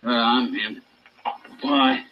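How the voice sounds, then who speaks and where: A man's voice: mid-range voice, huge pitch swings, drawn-out words, heavily acted. A surly American garage mechanic with a prison past in an old radio crime drama. He talks in defensive, slangy grumbles, and the recording is dull with faint noise. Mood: irritated, wary. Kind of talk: 1950s radio drama acting.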